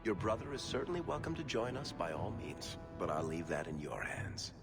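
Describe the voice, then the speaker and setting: An actor playing a wry, smooth-talking dwarf rogue and dealmaker in a video game, persuasive and glib. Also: male voice, on the low side, sing-song, moderate pace. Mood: smooth, flattering. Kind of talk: video game cutscene dialogue. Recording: music behind the voice. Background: background music.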